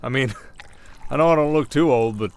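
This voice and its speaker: A man's voice: medium pitch, normal speed, natural voice. A man playing a folksy, hesitant werewolf sheriff with a Southern Old West drawl in an ASMR roleplay. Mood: curious, amused. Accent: American Southern, Old West drawl. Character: folksy werewolf sheriff of an Old West town, long-lived but young-faced. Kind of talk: roleplay audio acting, ASMR. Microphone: close studio mic, dry.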